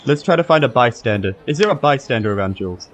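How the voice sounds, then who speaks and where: A man's voice: mid-range voice, lively intonation, fast talker. A man playing a tabletop game in casual, playful banter.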